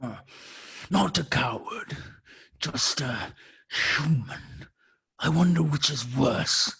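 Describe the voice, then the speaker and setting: A man playing a menacing, contemptuous alien pirate captain in a screenplay table read, with a husky, gravelly voice and slow, deliberate taunts. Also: on the high side, lively intonation, sharp tone, full character voice. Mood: menacing contempt.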